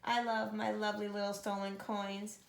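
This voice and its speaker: Storybook giant, played by a woman: greedy, bellowing, creaky-edged, roomy sound. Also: level tone, normal speed, lightly acted. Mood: gloating. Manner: booming, bellowing delivery.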